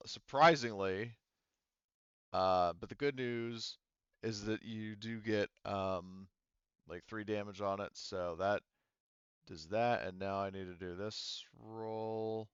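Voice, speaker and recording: A man acting as game master of a tabletop roleplaying game, explaining rules haltingly as he thinks aloud. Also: fairly low pitch, clean tone, dry recording, highs cut off.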